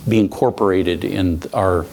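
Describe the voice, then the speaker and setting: A man with a noticeably creaky, gravelly voice talks off the cuff as a panelist. He reasons aloud, reworking his phrases as he builds an argument. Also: low voice, unhurried, darkish tone. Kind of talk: unscripted panel discussion.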